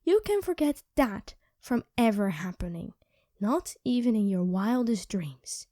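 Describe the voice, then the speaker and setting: A woman's voice: medium pitch, animated, a little slow, no echo, studio quality. Woman playing a snappy, whispering vampire. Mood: snappish. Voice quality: drops into soft whispers. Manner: sharp, snappy and sarcastic. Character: irritable young female vampire craving blood. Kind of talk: ASMR roleplay.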